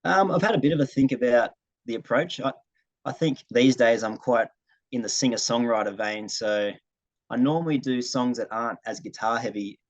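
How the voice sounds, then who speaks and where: A man's voice: medium pitch, normal speed, no echo, lo-fi sound. A young Australian man, a singer-songwriter, answering interview questions in a casual way on a video call. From regional New South Wales, Australia. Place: video call, dry room.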